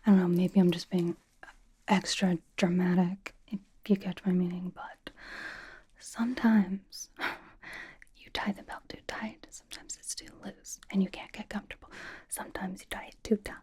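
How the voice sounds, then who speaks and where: A woman voicing a young female K-pop idol who is dryly doting and comfort-obsessed, over-explaining playfully in a hushed, casual ASMR roleplay whisper. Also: lowish voice, little pitch movement, normal speed, breathy edge. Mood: mock-dramatic.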